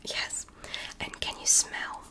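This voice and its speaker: A woman voicing a calm, clinical female alien doctor in a whispered ASMR roleplay. She speaks softly and reassuringly, with precise, measured instructions. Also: lightly acted, low-quality recording. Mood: soothing.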